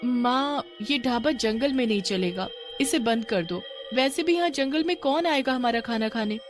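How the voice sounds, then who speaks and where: Earnest, high-voiced young daughter played by a woman. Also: normal speed, soft background music, natural voice. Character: innocent young daughter Aarti, caring and questioning.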